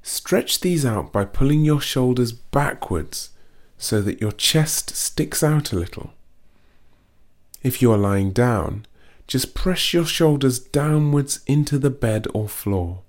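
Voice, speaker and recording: A man reading a guided muscle relaxation script in a slow, soothing, gently instructive way. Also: medium pitch, animated.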